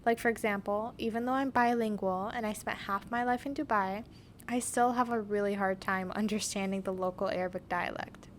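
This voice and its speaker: A woman's voice: medium pitch, steady tone, brisk, a little hiss or hum. A young woman hosting a podcast, telling her story in a reflective, scripted narration. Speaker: podcast host.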